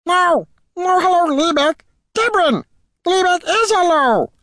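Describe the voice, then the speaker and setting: A little goblin squire pipes up far above a normal male register. He is excitable and exclamatory, throwing out broken phrases with great vigor in a storybook fantasy. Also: extremely high pitch, slow, no echo, studio quality, pitched above the performer's own voice, full character voice. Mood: excited, insistent.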